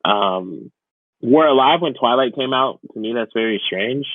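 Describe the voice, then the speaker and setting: A man's voice: medium pitch, lively intonation, normal speed, dry recording, phone-quality sound. A young man co-hosting a podcast in casual chat, often acting out little imagined exchanges as he talks. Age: young adult. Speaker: podcast co-host.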